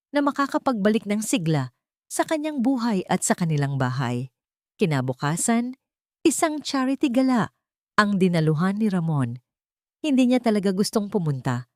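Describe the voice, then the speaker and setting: A woman's voice: fairly low pitch, a little mellow, no room sound, clean studio sound. A woman storyteller reading a scripted romance story as dramatic narration.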